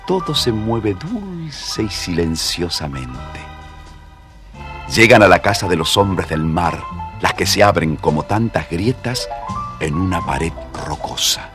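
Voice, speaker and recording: A man with an Argentine accent narrating a scripted children's story with expressive storyteller phrasing. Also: deep voice, slow, over music.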